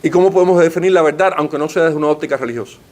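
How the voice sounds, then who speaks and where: Man, panelist, formal rhetorical lecture, a bit high-pitched. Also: racing, a little mellow. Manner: rhetorical, uses questions and lists. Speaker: panelist giving a talk. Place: library meeting room.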